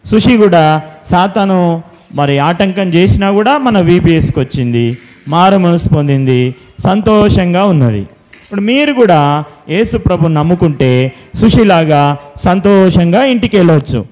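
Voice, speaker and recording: Children's ministry leader: man, higher-than-average pitch, soft-edged tone, instructive preaching and prayer. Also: animated, measured pace. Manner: instructing, repeats simple commands and questions. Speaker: children's Bible school leader.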